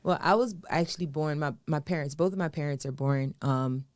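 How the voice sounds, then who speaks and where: Young woman guest from Miami with a low voice, Creole first language, chatty and laughing, dry close sound. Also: brisk, no echo. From Miami, United States. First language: Haitian Creole. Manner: warm, laughs often, many fillers. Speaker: guest artist. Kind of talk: casual interview.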